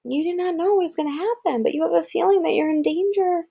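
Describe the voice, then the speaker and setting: A woman reading an article aloud as if to a child, pausing to explain words and point things out. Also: extremely high pitch, creaky, dark tone. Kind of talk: read-aloud narration with casual asides.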